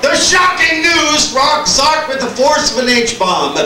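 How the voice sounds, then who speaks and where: A man's voice: extremely high pitch, big pitch swings, slow, sharp tone, heavily acted. A man playing a lurid, bombastic horror comic narrator, with portentous dramatic emphasis on the scripted story. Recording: very echoey, distant, music behind.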